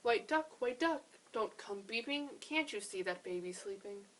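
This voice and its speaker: A woman's voice: fairly high pitch, animated, unhurried, a bit bright. A woman voicing a protective mother in a storybook lullaby, chanting her pleas in rhythm. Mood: chanting, pleading. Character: protective mother shushing animals in lullaby tale.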